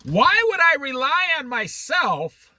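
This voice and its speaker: A man teaching a casual Bible study in a very bright, high voice that swings widely in pitch. He talks slowly and challenges his listeners with pointed questions, with a dry close sound. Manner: animated, challenging rhetorical questions; jokey asides. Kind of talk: informal Bible study talk.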